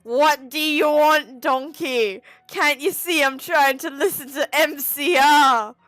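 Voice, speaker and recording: A woman voicing a grumpy, angsty male ogre who yells in loud exasperation. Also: high voice, slow pace, no room sound, faint music behind, pitched above the performer's own voice. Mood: exasperated.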